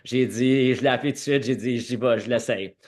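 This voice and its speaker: Male podcast guest, French Canadian accent, medium pitch, conversational storyteller who mixes in English words. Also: fairly quick, a little mellow. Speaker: podcast guest, former hockey player.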